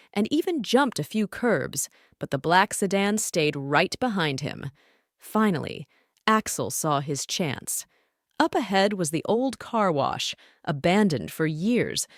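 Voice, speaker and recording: A woman's voice: fairly low pitch, no room sound, clean studio sound. A woman narrating a scripted bedtime story for children as a storyteller.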